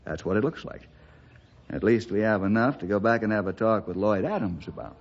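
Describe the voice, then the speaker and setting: Steady, devout American investigator played by a man, measured and firm, 1960s radio drama, slightly dull archival sound. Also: lowish voice, close to an everyday voice. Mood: patient. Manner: measured, probing, moralizing. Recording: dull vintage radio recording.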